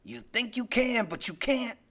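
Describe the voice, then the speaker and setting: A man voicing a cold, menacing grown killer father in an audiobook, drawing his words out slowly. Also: extremely high pitch, expressive, a bit bright, heavily acted. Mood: menacing, insistent. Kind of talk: audiobook character voice.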